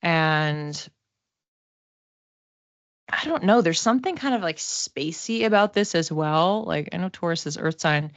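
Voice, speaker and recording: A woman hosting an oracle card reading in a casual, halting, conversational way, gently reassuring as she improvises. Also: deep voice, expressive, dry recording. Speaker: host giving psychic oracle card readings. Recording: dull, muffled lo-fi sound.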